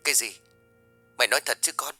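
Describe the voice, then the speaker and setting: A man voicing an older village aunt, blunt and gossipy, who talks in a hushed, confiding way and rushes through her gossip. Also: medium pitch, fairly even intonation, no echo, faint music behind, pitched above the performer's own voice. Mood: hushed concern.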